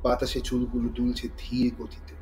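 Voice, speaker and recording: A man's voice: medium pitch, monotone, normal speed, dark tone, soft background music. A man narrating a scripted thriller story in a dramatic storytelling style. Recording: faint noise and ambience behind voice.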